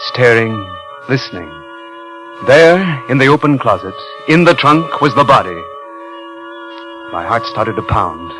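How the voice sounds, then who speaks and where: An actor playing a nervous, obsessive impostor in 1950s radio suspense, with a halting, intense delivery. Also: male voice, medium pitch. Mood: tense, gloating. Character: nervous, obsessive male impostor and would-be thief. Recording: vintage 1950s radio recording, music behind.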